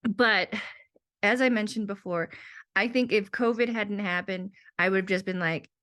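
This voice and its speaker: A young woman with a sore throat, sick and worn out, talks through her week in a loose, rambling monologue. Her voice sits at an ordinary middle pitch, and she keeps slipping into quoted asides to herself. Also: moderate pace, fairly bright tone. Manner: rambling, self-talking asides. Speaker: teacher. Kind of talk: casual personal monologue.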